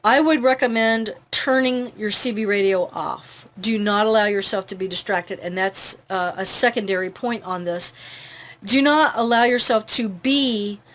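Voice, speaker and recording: Woman doing a live advice stream, medium pitch, explains stuff earnestly, keeps checking ur following along. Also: normal speed, a bit bright. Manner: instructive, earnest, often checking in with "okay" and "all right". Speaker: host of a live advice broadcast for truck drivers. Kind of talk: informal live tips talk to camera.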